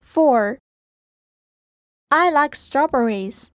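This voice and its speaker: High-pitched woman reading very slowly. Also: lively intonation, no echo, studio quality. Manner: careful, deliberate enunciation. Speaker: listening-test reader. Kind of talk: scripted language-test reading.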